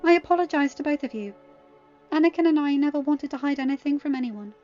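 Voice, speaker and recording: A woman's voice: sits high, lively intonation, quick pace, a little mellow, dull audio, faint music behind, pitched above the performer's own voice. A woman voicing an anguished, tender yet commanding young noblewoman in an audiobook, emphatic and desperate. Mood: earnest.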